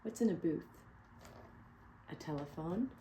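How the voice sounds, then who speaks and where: A woman reading a picture book aloud to children, pausing to ask them questions. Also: lowish voice, animated, a little hiss or hum, rough recording. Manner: gentle, asks children questions. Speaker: read-aloud storyteller.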